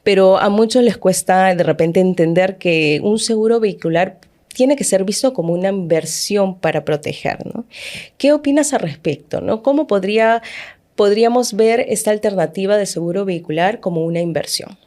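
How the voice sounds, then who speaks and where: A woman's voice: mid-range voice, normal speed, darkish tone. A woman hosting a podcast interview, talking conversationally and ending points with tag questions. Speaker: podcast host.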